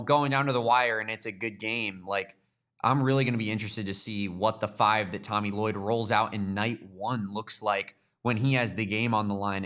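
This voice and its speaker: A man's voice: lowish voice, fairly bright tone. A man co-hosting a sports podcast, speaking casually and thoughtfully, thinking aloud with fillers.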